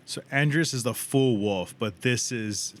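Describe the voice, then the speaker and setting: A man's voice: medium pitch, unhurried. A man livestreaming a game with casual, slangy reactions and some swearing. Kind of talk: casual gaming reaction commentary. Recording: faint game sound and noise behind.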